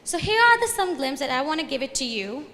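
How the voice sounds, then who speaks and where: A woman's voice: high voice, sing-song, fast talker, fairly bright tone. Young Indian woman speaking in a large hall. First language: Hindi. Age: young adult. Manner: earnest, loosely phrased speech with restarts. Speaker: student organization representative. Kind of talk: conference presentation. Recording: reverberant hall.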